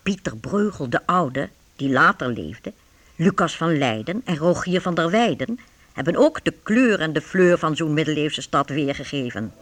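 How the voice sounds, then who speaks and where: A man acting as a reflective, measured storyteller, narrating a radio drama about a medieval town. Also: fairly high pitch, animated, fairly bright tone. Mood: musing.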